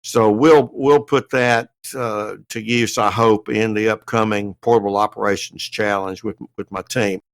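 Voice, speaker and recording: A man's voice: on the low side, steady tone, moderate pace, hardly any pauses, no room sound. An American man giving a detailed, methodical update as a panellist on a casual podcast. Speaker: podcast panellist and amateur radio writer.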